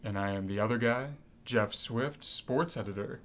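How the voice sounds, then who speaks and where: A man's voice: medium pitch, moderate pace, a bit bright. A young man co-hosting a podcast in a casual, chatty style. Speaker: podcast co-host. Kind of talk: casual chat.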